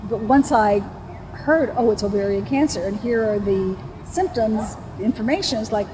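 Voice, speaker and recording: A woman's voice: medium pitch, normal speed. A woman telling a personal account in an interview. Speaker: cancer survivor interviewee. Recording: clear vehicle noise behind.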